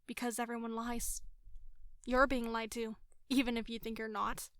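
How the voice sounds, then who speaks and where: A woman's voice: fairly high pitch, level tone, moderate pace, fairly bright tone, no echo, studio quality. An actress playing an embittered, worn young woman in an audio drama, speaking in a curt, bitter way. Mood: bitter, resigned.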